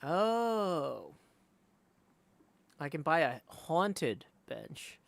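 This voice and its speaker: A man giving casual livestream commentary on a game. His voice has noticeable creak and moves freely in pitch as he reads out menus and thinks aloud. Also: very animated, very slow, fairly bright tone. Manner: thinks aloud while reading out on-screen text. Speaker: streamer.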